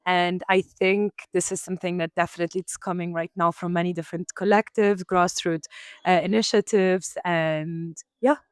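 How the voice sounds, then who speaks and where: A woman guest on a panel speaks, measured and thoughtful. Her voice is clean and smooth, recorded close and dry. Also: on the low side, fairly even intonation, no room sound. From Tunisia, grew up in Italy. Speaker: DJ and radio host, panel guest. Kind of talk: panel discussion.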